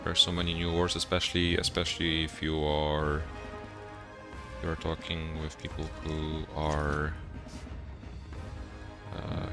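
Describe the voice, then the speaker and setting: A young man with a Polish accent and a strikingly deep, low voice, chatting casually on a gaming livestream. He greets viewers and thinks aloud, pausing to search for the right words. Game music plays clearly behind him. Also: bright, forceful tone. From Poland. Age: young adult. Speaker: gaming streamer.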